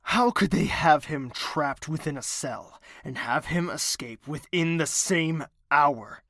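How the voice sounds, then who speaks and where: A man's voice: on the high side, lively intonation, fairly bright tone, no room sound, clean studio sound, heavily acted. Man playing an imperious young villain prince. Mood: indignant, cold. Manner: formal, commanding, deliberate. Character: bitter young male prince, self-declared villain, imperious and menacing. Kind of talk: audio drama acting.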